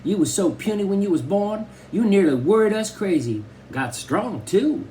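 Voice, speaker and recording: A man's voice: high-pitched, expressive, moderate pace, warm tone, a little hiss or hum, voice pushed up, rough recording. A man reading aloud and voicing a tiny, doting but bossy old Southern grandmother in a folksy drawl.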